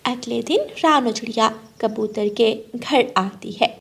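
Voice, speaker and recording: A woman with a very high voice narrates a children's story. She reads scripted lines as the storyteller of a cartoon. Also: a little slow, vocal fry, slightly rough.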